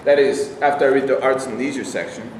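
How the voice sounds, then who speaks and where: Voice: medium pitch, fairly even intonation, fast, natural voice. A performer in a staged reading playing a meek, puny, bookish character who is out of work. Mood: earnest. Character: meek, puny jobless man, a bookish news follower. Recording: very echoey room, clear background noise.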